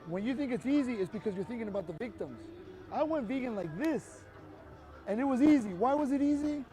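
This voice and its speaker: A man's voice: extremely high pitch, lively intonation, brisk, rough recording. A man with an earnest, emphatic way of talking, making his case to a passerby outdoors on a city street. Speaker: street activist. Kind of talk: persuasive street conversation.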